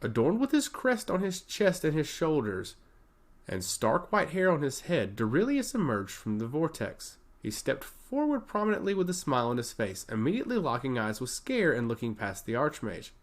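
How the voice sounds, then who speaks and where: A man's voice: on the high side, huge pitch swings, normal speed. A man reading scripted fantasy narration as a storyteller.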